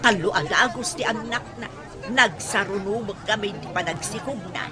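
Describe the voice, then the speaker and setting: A woman's voice: mid-range voice, normal speed, crowd noise, natural voice. A woman acting an older village mother-in-law in a radio drama, chatty, exclaiming and exasperated. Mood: chatty, pitying.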